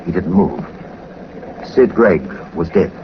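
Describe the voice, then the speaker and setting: An actor playing a composed gentleman detective in a 1940s radio drama, speaking formally and courteously in measured phrases. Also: deep voice, animated. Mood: grave narration. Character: composed, methodical gentleman sleuth, a former captain. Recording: muffled, noisy 1940s radio recording.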